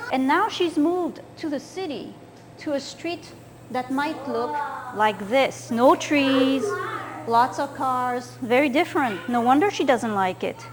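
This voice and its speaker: A woman in her sixties from France, speaking in a high voice as she walks young listeners through a slideshow, pointing and asking if they can see. A faint hum sits behind her. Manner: gentle, explaining and pointing at pictures. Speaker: children's author. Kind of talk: slideshow talk for children.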